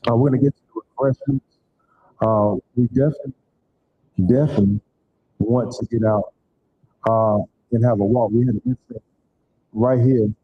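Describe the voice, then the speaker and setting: A man's voice: medium pitch, narrow, tinny audio, slight background noise. A man giving loose, repetitive closing remarks as organizer of a community event in a church hall.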